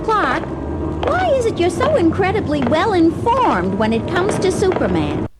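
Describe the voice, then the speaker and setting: A woman's voice: extremely high pitch, huge pitch swings, unhurried, loud music behind the voice, heavily acted. An actress playing a sceptical, pert newspaper reporter in an old superhero audio drama, questioning as she goes.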